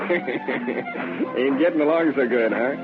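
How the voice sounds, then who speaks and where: Slow, folksy country charmer. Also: male voice, mid-range voice, animated. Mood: amiable. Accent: rural American. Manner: folksy, chuckling, drawn-out. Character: jovial, flirtatious country dance-goer, a chuckling charmer. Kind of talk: old-time radio drama acting. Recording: tinny old radio, loud music, crowd.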